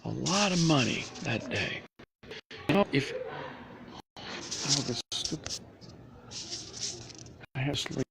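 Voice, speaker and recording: A man's voice: mid-range voice, sing-song, slow, vocal fry, pauses often, background noise. A man rambling through a live stream from inside a public library, full of restarts and asides. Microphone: phone or laptop camera.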